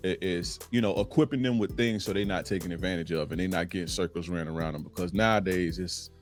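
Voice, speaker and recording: A man in his thirties from Florida, a dating coach guest talking in a laid-back, unhurried way with many fillers. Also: sits low, faint music behind. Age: 30s. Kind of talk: casual interview talk. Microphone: phone line.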